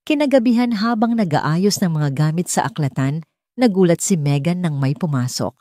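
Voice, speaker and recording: Casting: female audiobook narrator for romance drama, low-pitched, dark warm tone, dry close recording. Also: lively intonation, normal speed, no room sound. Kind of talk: scripted romance story narration.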